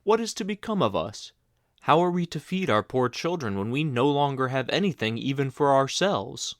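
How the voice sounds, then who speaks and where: A man reading aloud as a troubled, gentle woodcutter father who worries over his children. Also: mid-range voice, animated, moderate pace, no room sound, studio quality, natural voice. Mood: anxious. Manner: troubled, soft-spoken.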